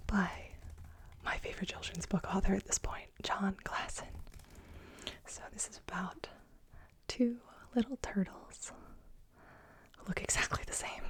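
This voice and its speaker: Slow whispering young woman reading aloud. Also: mid-range voice. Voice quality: soft whisper. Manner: amused asides. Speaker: reader of children's books. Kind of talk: ASMR storybook reading.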